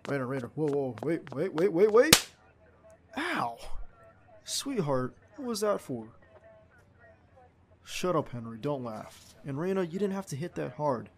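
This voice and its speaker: A man performs a young male field agent in a boyfriend roleplay audio drama. His voice sits a little high for a man. He comes across as doting and playful, with a teasing, stubborn streak. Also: big pitch swings, unhurried, pauses often, crowd noise. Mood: flustered, pained. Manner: affectionate, teasing, protesting.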